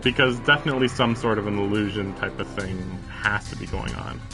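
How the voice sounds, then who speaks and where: A man's voice: fairly low pitch, a little fast, creaky. A man live-streaming a visual novel, reading the narration aloud and breaking into casual, incredulous commentary with some swearing. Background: game music.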